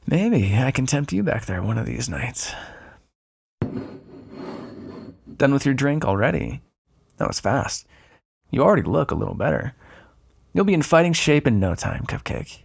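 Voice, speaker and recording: A flirtatious male vampire, acted by a man, murmurs in an intimate ASMR roleplay. His voice is husky and breathy with a creaky fry, and it swings freely up and down as he teases. He comes across as smug and predatory, yet looks after his prey. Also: mid-range voice, heavily acted. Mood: playful, flirtatious. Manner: teasing, drawling asides with soft sighs and chuckles. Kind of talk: ASMR roleplay, intimate second-person acting.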